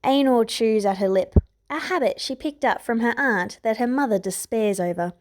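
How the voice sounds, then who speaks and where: A woman's voice: fairly high pitch, moderate pace, a little hiss or hum. A woman reading a scripted story aloud as its narrator. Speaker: podfic author and narrator. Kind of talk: scripted fan fiction narration.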